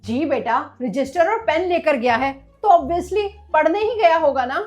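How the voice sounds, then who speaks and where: A doting, exasperated mother, acted by a woman in a family comedy skit. She sounds high and lively as she chides, with faint music behind her. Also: normal speed. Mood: trusting. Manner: motherly, chiding. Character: doting but exasperated mother of school-age children. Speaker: actor in a comic skit. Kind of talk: comic family skit acting.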